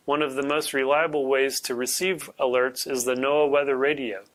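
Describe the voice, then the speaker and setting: A man's voice: mid-range voice, a bit bright. A man with a middle-range voice reads a scripted public information message. He presents it in the role of a weather service technician.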